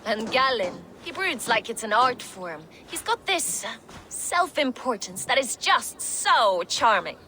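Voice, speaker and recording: A playful Viking warrior woman for a video game, speaks in a fairly high voice. She is wry and flirtatious with a taste for blood, and faint ambient noise sits behind her. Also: sing-song, measured pace, pushed and bright, exaggerated voice. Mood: wry, gossipy. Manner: teasing, playful.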